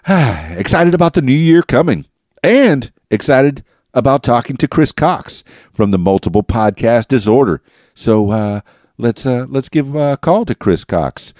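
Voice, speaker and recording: A man's voice: medium pitch, lively intonation, unhurried, darkish tone, no room sound, studio quality. A man hosting a podcast, interviewing a caller in a laid-back, joking way with lots of fillers. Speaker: podcast host. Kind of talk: casual interview.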